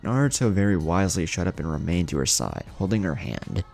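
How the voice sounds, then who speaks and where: Male narrator, extremely low pitch, gravelly fry, dramatic storytelling, music behind. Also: very animated, a little fast, a little mellow. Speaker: fan fiction narrator. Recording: music under the voice. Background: background music.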